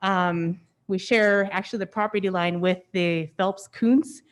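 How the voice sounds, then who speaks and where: A woman's voice: mid-range voice, steady tone, unhurried. A woman speaking as a member of the public at a council meeting, nervous and apologetic. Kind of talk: public comment. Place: council chamber.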